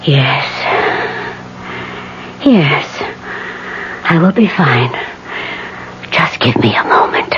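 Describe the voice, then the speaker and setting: An actress playing an old, solemn woman spirit medium in a 1970s radio drama, with halting, whispery, trance-like delivery. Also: low voice, expressive, full character voice. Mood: shaken, concerned. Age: older. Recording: muffled old radio recording, loud hiss and hum.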